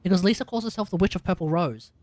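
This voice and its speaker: A woman's voice: on the low side, rapid-fire, dark tone, dry recording. A woman hosting a livestream with casual game commentary, thinking aloud and correcting herself as she goes. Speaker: livestream host. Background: game music.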